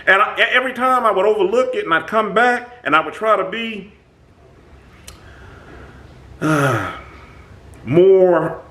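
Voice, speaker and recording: Host, male, booming voice, very animated intonation, talks to camera in an emphatic, reflective style. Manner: animated, emphatic, many restarts. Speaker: channel host. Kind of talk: reflective self-talk monologue.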